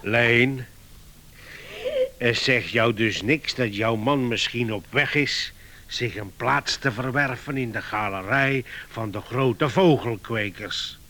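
An actor playing an earnest, flustered visitor in a radio comedy, hesitant and stammering yet insistent. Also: male voice, medium pitch, takes it slowly, bright, forceful tone. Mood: hesitant, puzzled. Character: Sam, earnest flustered visitor defending his inventor friend. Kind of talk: radio comedy drama acting.

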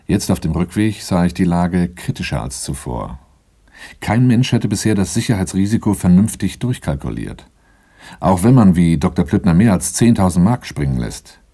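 Male, brooding first-person narrator for crime radio play, very low, dark mellow voice, self-assured and understated. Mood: sober.

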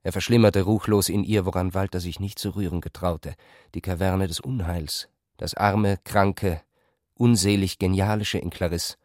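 A man's voice: deep voice, fairly even intonation, moderate pace, darkish tone, dry recording, studio quality. A man reading scripted literary narration for a radio production.

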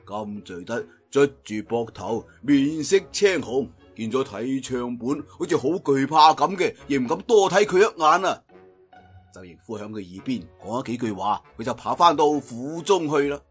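Male Cantonese storyteller, very animated, slightly high and husky, dramatic serial narration over faint music. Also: normal speed. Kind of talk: dramatic traditional audiobook storytelling.

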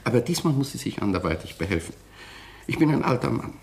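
A man's voice: mid-range voice, big pitch swings, fast talker, vocal fry, a little mellow. An actor playing an aging, weary British detective and father in a radio drama, plaintive and evasive. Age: older.

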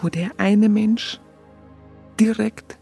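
A woman's voice: mid-range voice, fairly even intonation, slow pace, gravelly, mellow, slightly rough, faint music behind, full character voice. An actress playing a curious, smitten young woman photographer in a radio play, chatty and colloquial with a Bavarian accent. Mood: wistful, musing. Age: young adult. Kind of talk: radio play narration and dialogue.

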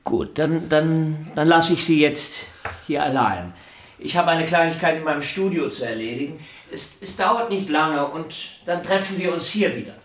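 A man plays a gentle violin virtuoso in a radio drama, with a fairly high male voice. He is deferential and earnest, hesitating and doubling his words in his eagerness to be polite. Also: lively intonation, moderate pace, close to an everyday voice. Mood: obliging, puzzled. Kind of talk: German radio play acting.

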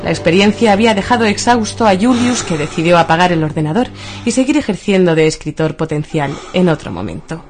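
A woman's voice: on the low side, animated, brisk, a little mellow. A woman with an accent from Spain narrating a scripted radio story. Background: music.

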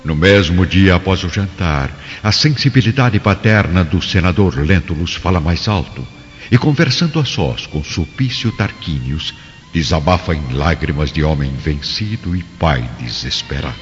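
Deep male narrator, solemn radio play, big swoopy delivery, long reverb, music behind. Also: expressive, normal speed. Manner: solemn, theatrical. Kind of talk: dramatic scripted radio drama narration. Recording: dull, reverberant recording with music.

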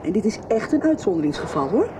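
An actress playing an officious but obliging hospital receptionist in a radio soap. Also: female voice, mid-range voice, sing-song, fast talker, close to an everyday voice. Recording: distant mic, noisy background.

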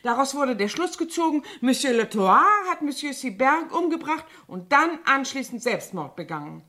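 A woman's voice: high-pitched, big pitch swings, moderate pace, bright, forceful tone, full character voice. An actress in a German radio drama playing a talkative, gullible French cleaning woman who relishes dramatic gossip. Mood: eager storytelling.

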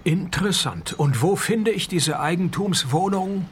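An actor playing a wry, cynical private detective who narrates a radio play with dry, deadpan, laconic delivery and a husky voice. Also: male voice, high voice, measured pace, a little hiss or hum. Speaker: detective and first-person narrator.

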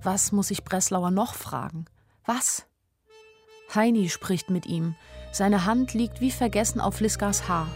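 A woman's voice: medium pitch, measured pace, a little mellow, natural voice. An actress plays a young woman narrating a literary radio drama from inside her own head. Her voice sits in the middle register, anxious and inwardly urgent, in short plain sentences. Faint music runs behind her. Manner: intimate inner monologue in short plain sentences. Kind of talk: literary radio play narration in present tense.

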